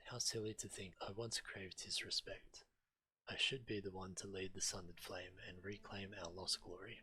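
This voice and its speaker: A man's voice: deep voice, level tone, normal speed, sharp tone, poor audio. A man who streams games, reading quest text aloud and commenting as he plays. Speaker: game streamer. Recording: game music behind the voice. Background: video game music.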